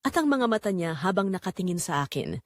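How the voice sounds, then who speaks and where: A woman's voice: fairly low pitch, big pitch swings, fast, dry recording, studio quality. A woman with a Filipino accent playing a tender, long-neglected middle-aged wife and mother, narrating her own romantic audio drama in an introspective, confessional way. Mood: reflective, moved.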